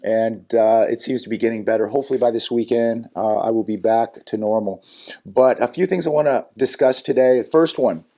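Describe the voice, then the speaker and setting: A man with a hoarse, raspy voice from a sinus infection, hosting a solo commentary vlog in an earnest, cautionary way with many fillers and repeated words. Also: medium pitch, a little mellow. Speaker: channel host and economy commentator.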